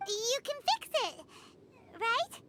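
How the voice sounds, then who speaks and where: A woman voicing a tiny, cheeky, excitable childlike fairy companion in a video game, with a squeaky voice. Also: slow pace, background noise, heavily acted. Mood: eager. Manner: excitable, talks of self in third person. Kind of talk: video game character acting.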